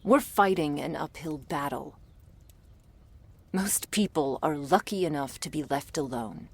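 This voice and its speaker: Woman voicing a guarded female monster hunter, extremely deep pitch, creaky gravel, blunt, sardonic, defiant, roleplay audio drama. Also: measured pace, heavily acted. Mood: irritated, defiant.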